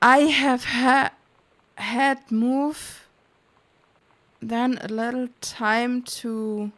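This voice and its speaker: A woman doing casual livestream game commentary, reading on-screen text aloud in a halting, puzzled way, muttering guesses as she goes. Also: fairly high pitch, steady tone, fairly bright tone. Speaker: game streamer.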